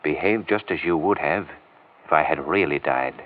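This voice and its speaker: An actor playing a suave, commanding detective in an old radio drama, speaking in a measured, authoritative way. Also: male voice, a bass voice. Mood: calm, reassuring. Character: suave, commanding male detective with secret identity. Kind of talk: 1940s radio drama acting. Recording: muffled old radio recording.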